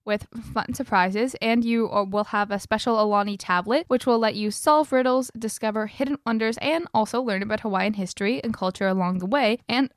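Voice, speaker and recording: A woman co-hosting a podcast, steady and informative as she reads out details. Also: fairly high pitch, a little fast, few pauses, no room sound, studio quality. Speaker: podcast co-host. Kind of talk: reading notes in casual chat.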